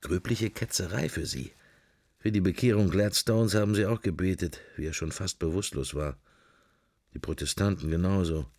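A man's voice: deep voice, normal speed, darkish tone, no room sound, studio quality. An actor playing a wry, irreverent man musing to himself in clipped fragments, as inner monologue in a radio drama. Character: wry, musing male sceptic, inner thoughts while observing church.

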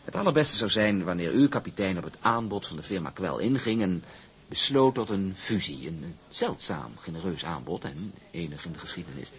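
A man playing a smug young shipping heir in a radio drama, speaking with formal, oily politeness and measured hesitations. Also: low voice, sing-song, a bit bright. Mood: smugly patronising. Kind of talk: Dutch radio drama acting.

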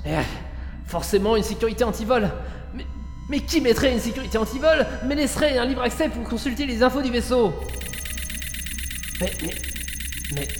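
A man's voice: very high-pitched, moderate pace, pauses often. A man acting in an audio drama as a frantic, cursing lone space pilot who mutters to himself. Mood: exasperated, then anxious muttering. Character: panicked, foul-mouthed lone space pilot trapped with a killer drone. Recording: echoey reverberant hall, loud music behind.

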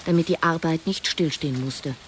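A woman's voice: sits low, normal speed, a little hiss or hum, close to an everyday voice. An actress playing a wry, stubborn cleaning woman who confides her story in a German radio drama. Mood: confiding, brooding. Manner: confiding, hesitant in dialogue. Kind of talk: German radio drama first-person narration.

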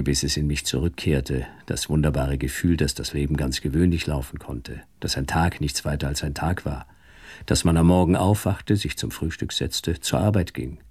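Deep, husky, weary narrator. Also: male voice, moderate pace, darkish tone, no echo, heavily acted. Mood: reflective, relieved. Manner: reflective, literary. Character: reflective, world-weary man narrating his own ordeal. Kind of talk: scripted first-person radio drama narration.

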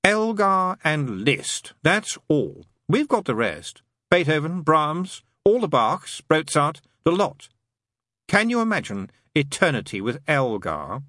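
A man's voice: a little high, sing-song, slow pace, sharp tone, dry recording, studio quality, pitched above the performer's own voice, exaggerated voice. A man voicing a glum, sardonic demon who needles and persuades in colloquial, emphatic lists, in an audiobook story. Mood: smug, needling.

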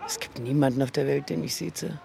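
A man's voice: mid-range voice, steady tone, fairly quick, gravelly, noisy background. A man narrating in the first person for a radio piece.

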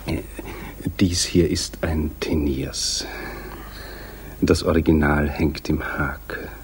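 An actor playing an ailing, pedantic gentleman in a 1960s radio play, halting and sometimes hushed. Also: rumbling low, fairly even intonation, breathy edge, heavily acted. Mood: absorbed, pedantic. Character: ailing, housebound gentleman absorbed in his art prints. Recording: faintly noisy 1960s radio recording.

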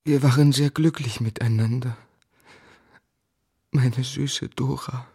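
Gravelly, grieving young husband. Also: male voice, medium pitch, fairly even intonation, takes it slowly, warm tone, dry recording, clean studio sound, heavily acted. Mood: pleading, sorrowful. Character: grieving young husband narrating his life story. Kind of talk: literary radio play narration and acting.

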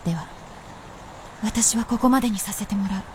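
A woman's voice: medium pitch, normal speed, a little hiss or hum, natural voice. A woman playing an aloof, proud immortal princess in a Japanese period radio drama, with archaic, composed, lordly speech. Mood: wry, unhurried.

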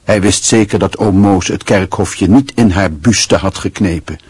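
An actor playing a dry, wry literary narrator in a radio play, with a husky voice. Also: male voice, deep voice, unhurried, a little mellow, dry recording, full character voice. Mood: wry, reflective.

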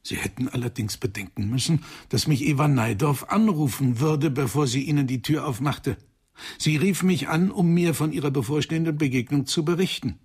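An actor playing a stern male psychoanalyst in a German radio crime drama, speaking in a measured, formal, accusing manner. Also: mid-range voice, creaky, slightly rough, heavily acted. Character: stern male psychoanalyst coldly confronting a murderer.

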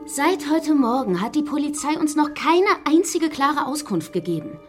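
An actress playing an imperious, suspicious daughter-in-law with a sharp, accusatory manner in a German radio crime drama. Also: female voice, high-pitched, lively intonation, normal speed, music in the background. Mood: indignant.

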